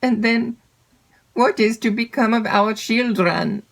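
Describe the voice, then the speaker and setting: Woman reader doing a young peasant wife, high voice, simple earnest lamenting, audiobook style. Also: takes it slowly, creaky, exaggerated voice. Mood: anxious. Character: distressed, plain-spoken young peasant shepherd's wife. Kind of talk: audiobook character voice.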